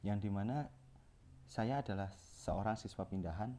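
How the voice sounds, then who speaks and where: A young man with a low, mellow voice reads through a course presentation. He keeps tripping over words and restarting them as he goes. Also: animated, normal speed, a little hiss or hum. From Central Java, Indonesia. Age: young adult. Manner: reads from notes with frequent self-corrections and restarts. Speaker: communication student presenting.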